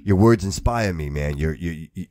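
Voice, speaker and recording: A man's voice: deep voice, moderate pace, a little mellow, no room sound. A man hosting a podcast in casual, emphatic, slangy chat, often repeating himself for stress. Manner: slangy, repetitive, addressing listener as bro and dude. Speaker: podcast host.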